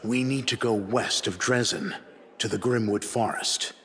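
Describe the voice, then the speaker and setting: An actor playing a proud, cool-headed assassin for hire in a fantasy video game, speaking formally and with measured condescension in a deep, dignified voice. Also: male voice, steady tone, a bit bright, in a large hall, natural voice. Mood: confident.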